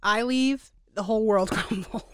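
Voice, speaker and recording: This is a woman co-hosting a chatty podcast in an upbeat, wry storytelling manner. Her voice is mid-pitched and recorded dry and close, with no room echo. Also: normal speed, fairly bright tone, clear, resonant tone. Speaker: podcast co-host. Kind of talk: casual chat.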